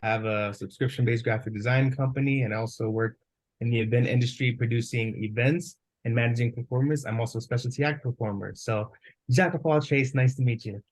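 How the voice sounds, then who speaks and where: A man's voice: medium pitch, steady tone, fairly quick, low-quality recording. A man giving a short, upbeat, self-assured introduction of himself and his work. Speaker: guest, creative director.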